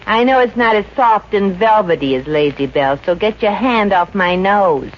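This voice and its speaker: A woman's voice: mid-range voice, expressive, moderate pace, slight background noise, close to an everyday voice. A woman playing a wisecracking, friendly young Brooklyn showgirl with an American accent in a 1950s radio comedy, wry and slangy. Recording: muffled old radio recording with music.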